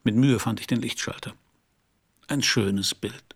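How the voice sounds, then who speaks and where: An actor playing a battered middle-aged private detective, wry, laconic and sardonic, in a noir radio play. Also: male voice, mid-range voice, unhurried. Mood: wry, weary. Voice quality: husky, gravelly. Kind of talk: noir radio drama acting and first-person narration.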